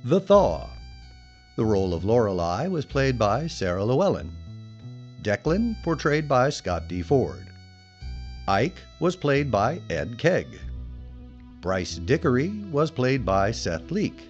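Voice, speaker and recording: A man's voice: medium pitch, sing-song, slow. A man reading scripted credits as an announcer, formal and dramatic. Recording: dull audio under faint music.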